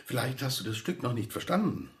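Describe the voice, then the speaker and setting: An actor playing Hans, a calm, coaxing theatre director in a radio drama. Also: male voice, medium pitch, a little fast, natural voice. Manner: calm, coaxing, wrapping things up.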